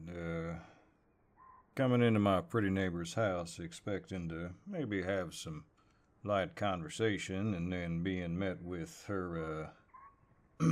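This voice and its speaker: A man playing a flirtatious, easygoing cowboy neighbor with a deep voice and a folksy American Southern drawl, in an intimate roleplay audio. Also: a little mellow. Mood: amused, bashful. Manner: folksy drawl, playful and unhurried.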